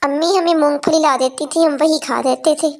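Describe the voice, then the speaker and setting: A woman voicing a meek, humble poor young second wife in a cartoon, soft and apologetic. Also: high voice, steady tone, normal speed. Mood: innocent.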